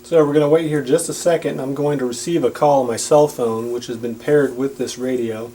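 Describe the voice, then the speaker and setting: A man's voice: mid-range voice, fairly even intonation, a little fast, dark tone, slight background noise, poor audio. A man giving a casual, plain-spoken and explanatory product demonstration.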